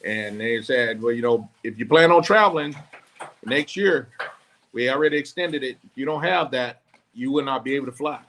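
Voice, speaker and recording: A man's voice: medium pitch, moderate pace, fairly bright tone, slight background noise. A man hosting a casual podcast chat, explaining things at length in a loose, rambling way with frequent restarts. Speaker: podcast host; former law enforcement officer.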